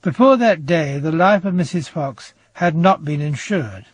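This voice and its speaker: A husky English prosecuting barrister. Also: male voice, a little high, expressive, no echo. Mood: grave, accusing. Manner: formal, deliberate courtroom delivery. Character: measured male prosecution barrister laying out a murder case. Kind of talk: radio crime drama acting.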